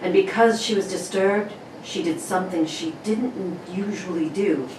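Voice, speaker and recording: A woman narrator reads a radio story at a medium pitch. Her voice sits a little distant in a roomy, echoing space, with faint noise around it. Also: unhurried.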